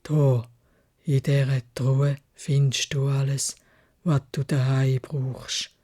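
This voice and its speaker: A woman voicing a gentle, heartbroken male beast in a Swiss German fairy tale, speaking in a halting, heavy-hearted way. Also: extremely low pitch, little pitch movement, pitched below the performer's own voice, exaggerated voice. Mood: resigned, sad.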